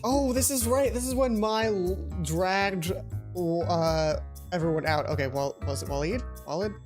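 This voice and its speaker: A woman's voice: on the low side, a little slow. Woman narrating a game, music behind. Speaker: let's play host. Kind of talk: reading a visual novel aloud with casual commentary.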